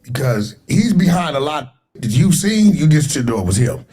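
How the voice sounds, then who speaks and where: A man in a played interview clip talks loud and boastful in streetwise slang. His voice is dark and mellow with a husky, rough grain. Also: fairly high pitch, sing-song, moderate pace. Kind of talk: casual interview talk.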